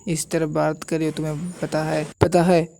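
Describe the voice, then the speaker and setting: Man as a boastful old immortal master, mellow. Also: fairly high pitch, little pitch movement, moderate pace, gravelly, a little hiss or hum. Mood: indignant, boastful. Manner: boastful, condescending. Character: proud, scolding old immortal martial arts master spirit.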